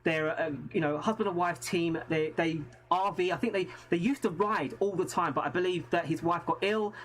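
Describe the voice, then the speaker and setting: A man's voice: sits high, normal speed, a bit bright. A man from England with an English accent, hosting a live stream in a casual, chatty way and often thanking his viewers. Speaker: host.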